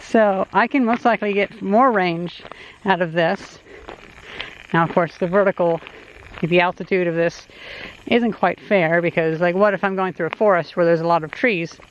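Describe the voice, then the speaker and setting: Casting: casual gear-testing vlogger, musing and unscripted, mid-pitched, muffled outdoor sound with faint noise. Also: steady tone, normal speed. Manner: musing, thinking aloud. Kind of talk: casual unscripted field commentary. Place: outdoors along a road. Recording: dull audio, faint background noise.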